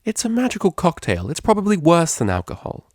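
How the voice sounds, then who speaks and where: A man's voice: a little high, wildly swooping pitch, a little fast, a little mellow, dry recording, clean studio sound, pitched above the performer's own voice. A man voicing a refined, anxious young female pony cellist in a story, proper and hesitant in manner. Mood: reluctant.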